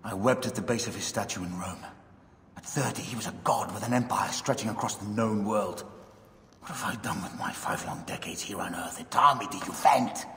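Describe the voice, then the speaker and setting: A man's voice: on the low side, fairly quick, a bit bright, long reverb tail, natural voice. Man in his 50s as a self-assured aging general, stately delivery, faint noise. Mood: wistful. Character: aging Roman general, measured and commanding.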